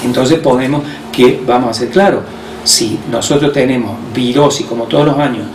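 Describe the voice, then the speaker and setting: A man with an Argentine accent, a hospital director who explains health measures on the radio in a measured way, with frequent hesitations. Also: medium pitch. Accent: Argentine Spanish. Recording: roomy distant mic with faint noise.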